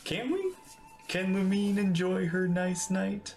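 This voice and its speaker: A man's voice: high-pitched, expressive, slow pace, a little mellow, faint music behind. A man giving casual, playful game-stream commentary with exaggerated reactions.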